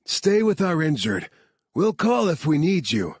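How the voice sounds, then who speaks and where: A man's voice: a little high, very animated, measured pace, a little mellow, husky, no room sound, pitched above the performer's own voice, full character voice. A man voicing a blunt, protective male team leader in an audiobook. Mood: gruff. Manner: blunt, commanding.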